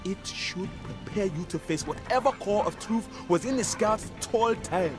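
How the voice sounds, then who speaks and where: A grim, embittered guard of a troubled town. His fairly high voice moves widely as he broods with dramatic earnestness. Fantasy game music sits behind him. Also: lively intonation, moderate pace. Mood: grave, urgent. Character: grim, embittered male city guard, loyal to townsfolk. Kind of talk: fantasy video game voice acting.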